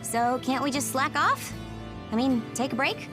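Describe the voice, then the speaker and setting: A laid-back young slacker girl from an anime game. She talks slowly in a fairly high, swinging voice, with music clearly underneath. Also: lively intonation. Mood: lazy, coaxing. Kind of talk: English game dub acting. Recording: music behind the voice.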